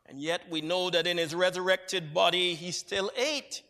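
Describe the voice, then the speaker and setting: A high-pitched man from Barbados delivers a measured, reflective sermon. His voice is bright and ringing, and it carries a long reverb through a church sanctuary. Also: steady tone. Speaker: preacher.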